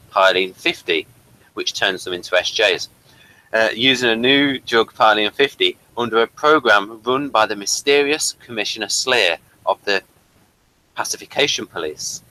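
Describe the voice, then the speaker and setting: A man with a fairly low but very bright, crisp-edged voice hosts a casual review chat. He rambles a little and slips in self-conscious asides as he goes. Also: poor audio. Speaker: podcast host reading an episode summary and discussing it.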